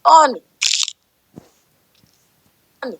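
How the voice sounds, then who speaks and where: A woman speaking in short, casual exclamations. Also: extremely slow.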